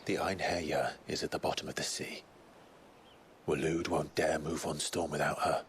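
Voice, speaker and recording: Very deep, grave fantasy game hero. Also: male voice, flat delivery, a little hiss or hum. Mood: grim resolve. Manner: terse, grave, measured. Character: gruff, resolute male fantasy hero, grave and guarded. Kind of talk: dramatic video game cutscene acting.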